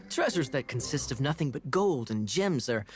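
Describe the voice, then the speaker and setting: An actor playing a suave young man in an anime game, telling grandiose tall tales in a smooth, mock-earnest way. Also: medium pitch, big pitch swings, a little slow, slight background noise. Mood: dramatic storytelling. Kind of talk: anime video game voice acting. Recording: music behind.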